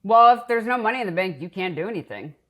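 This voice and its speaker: A woman's voice: medium pitch, lively intonation, fast talker. A middle-aged woman from the Boston area, a nonprofit leader talking candidly and with humor in an interview. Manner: candid, self-deprecating, upbeat. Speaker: nonprofit CEO, guest. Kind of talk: casual interview talk.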